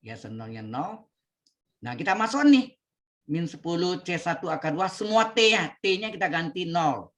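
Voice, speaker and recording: A man leads an online calculus class. He repeats each step slowly and keeps checking in with his students over the call. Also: fairly high pitch, big pitch swings, a bit bright. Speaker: lecturer. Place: home, video call. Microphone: computer mic on video call.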